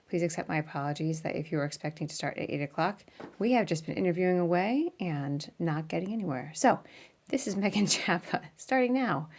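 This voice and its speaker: A woman hosting a casual live video interview, chatty and quick to laugh. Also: low voice, darkish tone. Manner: chatty, laughs often, apologetic at start. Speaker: podcast host.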